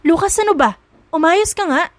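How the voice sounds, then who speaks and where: A woman's voice: very high-pitched, animated, moderate pace, no echo, pitched above the performer's own voice. A woman voicing a feisty young maid in a romance audio drama, scolding and emphatic, angry and hurt in love. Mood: flustered, protesting.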